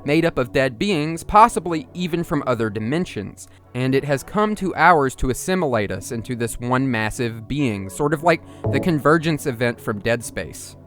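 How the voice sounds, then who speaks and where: A man's voice: mid-range voice, lively intonation, moderate pace, few pauses, fairly bright tone. A man narrating an analytical video essay in a musing, explanatory and partly conversational manner. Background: music under the voice.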